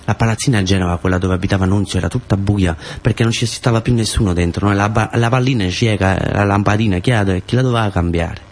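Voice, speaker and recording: A man's voice: lowish voice, fast talker, few pauses. A man with a southern Italian, Pugliese accent performing a colloquial, first-person dramatic monologue for radio theatre, in short repeated phrases.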